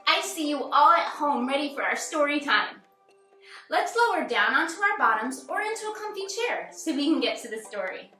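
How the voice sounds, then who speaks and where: A woman hosting a children's read-aloud and movement lesson, instructing young children in an animated, singsong way. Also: high voice, normal speed. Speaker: children's yoga and story time host. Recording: roomy echo, distant mic, music bed. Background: music.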